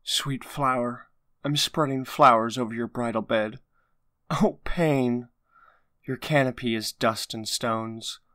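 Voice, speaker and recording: A mid-pitched young nobleman played by a male actor, proud and righteous, in an audio drama of modernized Shakespeare. He declaims earnestly, mournful and indignant, in a dry, close recording. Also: takes it slowly, sharp tone, dry recording.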